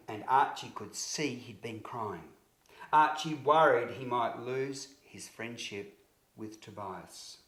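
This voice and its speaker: A man narrating a scripted children's story in an expressive, deliberate way, with emphatic phrasing for young listeners. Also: mid-range voice. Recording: roomy, echoing sound.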